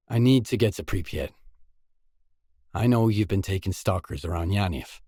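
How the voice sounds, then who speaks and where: A man playing a grim, world-weary lone stalker in a video game, terse and brooding, with a husky voice. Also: on the low side, a little mellow, no echo, clean studio sound. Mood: firm. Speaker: game character.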